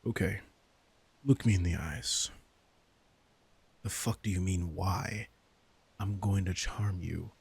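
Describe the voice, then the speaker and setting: A man playing an arrogant, teasing, centuries-old vampire king in an intimate audio roleplay, casual and sardonic, with a low, gravelly voice. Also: slow, pauses often, full character voice. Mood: impatient, teasing. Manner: casual, sardonic, swearing, coaxing his prey.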